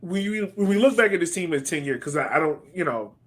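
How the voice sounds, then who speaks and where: A man's voice: a little high, lively intonation, fast talker, fairly bright tone. A man hosting a casual sports podcast, speaking in an informal, conversational way. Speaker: podcast host.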